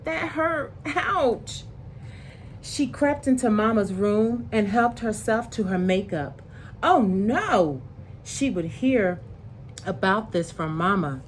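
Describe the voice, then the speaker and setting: A woman reading a picture book aloud to young children in an expressive, deliberate way, pausing to explain things in simple words. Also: mid-range voice, a little hiss or hum.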